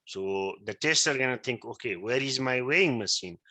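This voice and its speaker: A man's voice: mid-range voice, lively intonation, moderate pace, sharp tone. A male teacher whose first language is Maithili, giving an interactive class lecture and often checking that his students understand. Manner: keeps checking understanding, asks if he is right.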